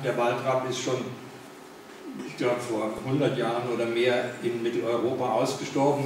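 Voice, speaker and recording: An older man with a Berlin accent gives a relaxed public lecture, dropping fillers and turning to the audience with questions. He stands well back from the camera, so his voice comes across thin and distant. The room rings with heavy echo, and a steady background hiss sits under him. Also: medium pitch. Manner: conversational, with frequent fillers and asides to the audience. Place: large indoor meeting room. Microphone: far camera, no mic.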